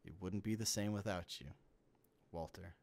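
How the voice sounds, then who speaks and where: A man playing an inhuman host who poses as a trusted friend in a comic dub, speaking in a measured, reassuring way. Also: deep voice, vocal fry, darkish tone. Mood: friendly, polite.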